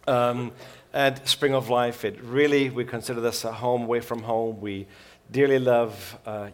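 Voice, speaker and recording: Middle-aged swiss-raised guy preaching, folksy and jokey, lots of uhs, ordinary pitch. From Switzerland. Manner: folksy and joking, many uh fillers. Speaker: guest preacher. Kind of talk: sermon.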